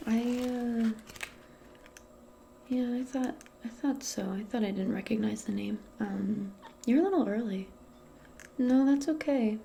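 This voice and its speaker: A woman playing a casual, gently awkward modern witch in a soft-spoken ASMR roleplay, speaking hesitantly in a breathy, often whispered voice. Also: a little high, measured pace, a little hiss or hum. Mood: friendly, hesitant. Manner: hesitant, murmuring, conversational. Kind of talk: ASMR personal-attention roleplay.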